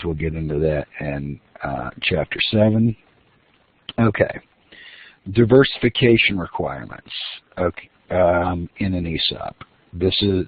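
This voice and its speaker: Deep-voiced guy teaching a class, lots of ums and restarts, thin phone-quality sound. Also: slightly rough, dry recording. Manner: explanatory, many fillers and restarts. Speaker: instructor. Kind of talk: recorded lecture. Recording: dry, phone-like lo-fi audio.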